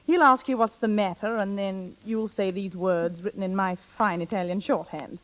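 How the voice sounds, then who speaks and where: An actress playing a scheming, playful older sister in brisk, knowing 1930s radio drama style. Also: female voice, medium pitch, fairly even intonation, natural voice, poor audio. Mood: coaxing, scheming. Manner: brisk, knowing, theatrical, coaxing. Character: bossy, scheming, playful older sister coaching younger one. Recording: vintage 1930s radio broadcast.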